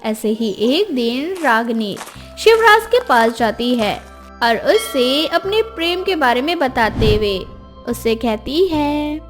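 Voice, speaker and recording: Woman narrator, high-pitched, lively intonation, scripted dramatic storytelling, faint background music. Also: takes it slowly.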